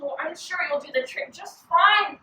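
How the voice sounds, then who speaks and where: An echoey, distant recording of a very high-voiced shopper played by a woman. She is folksy, chatty and relentlessly polite, a cheerful pest in a comic play. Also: moderate pace, bright, forceful tone, slight background noise. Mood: cheerfully insistent. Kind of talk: stage comedy acting.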